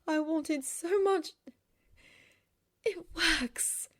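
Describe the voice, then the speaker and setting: A woman's voice: a little high, big pitch swings, normal speed, a little mellow, pitched above the performer's own voice. A woman voicing a caring elder sister in a story, explaining and cautioning in a reassuring way. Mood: relieved.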